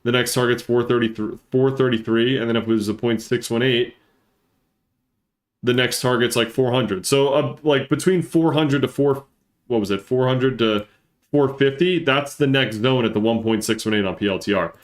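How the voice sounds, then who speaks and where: A man's voice: medium pitch, normal speed. Stuffy-nosed man explaining charts casually. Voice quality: congested, stuffy nose. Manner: explanatory, repeats points for emphasis. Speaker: live-stream host, market analyst. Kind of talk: casual live chart commentary and trading lesson.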